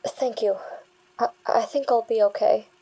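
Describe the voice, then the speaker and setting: Woman acting as shaken, high-voiced young female witness. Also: fairly even intonation, vocal fry, warm tone, breathy edge, exaggerated voice, rough recording. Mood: shaken, halting. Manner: halting, insistent, stammering. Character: shaken, defensive young woman bank worker giving witness testimony. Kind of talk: dramatic audio fiction acting.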